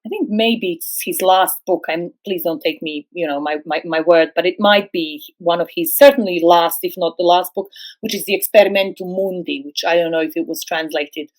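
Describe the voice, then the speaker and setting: A middle-aged woman who grew up speaking Serbo-Croatian, a guest philosopher on a podcast, speaking slowly and hesitantly with many fillers and restarts. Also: fairly low pitch, rough recording. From former Yugoslavia. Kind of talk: academic podcast discussion.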